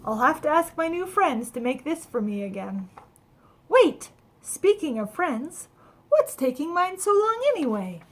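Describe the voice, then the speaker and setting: Woman reading as a high-pitched cheerful little snowman. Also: a little slow. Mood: delighted, chatty. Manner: wide-eyed, chatty, animated. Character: cheerful, naive, friendly little male snowman telling his adventure. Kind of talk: children's storybook read-aloud.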